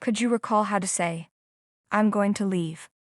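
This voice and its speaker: A woman giving a calm, scripted lesson in plain English, pausing to quiz the listener. Her pitch sits mid-range and the sound is close and dry, with no room around it. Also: no room sound. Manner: slow, prompting questions to the viewer. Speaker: language teacher.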